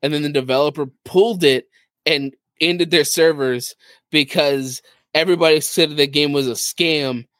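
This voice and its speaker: A man's voice: on the high side, normal speed, a bit bright, no echo, studio quality. A man talking casually and emphatically about video games as a podcast co-host, with slangy phrasing.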